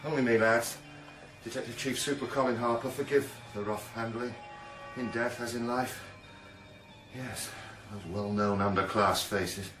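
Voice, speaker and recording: An actor playing a world-weary police detective in a radio drama, with a wry, clipped, brooding delivery. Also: male voice, mid-range voice, fairly even intonation, unhurried, far from the mic, natural voice. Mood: grimly wry. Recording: echoey, off-mic, music underneath.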